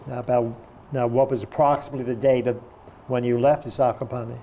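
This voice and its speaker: A man interviewing, asking calm prompting questions. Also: mid-range voice, fairly quick, slight background noise.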